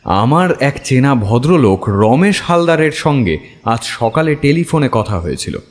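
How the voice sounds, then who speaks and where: A man playing a detective's wry, chatty companion who narrates the case as an expressive first-person story. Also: mid-range voice, measured pace, in a large hall. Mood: wry, reflective.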